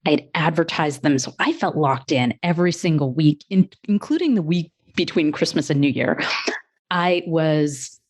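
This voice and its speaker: A woman guest on a coaching podcast, reflecting on her work in measured, thoughtful phrases broken into short chunks. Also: low-pitched, a little mellow. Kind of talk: reflective interview conversation.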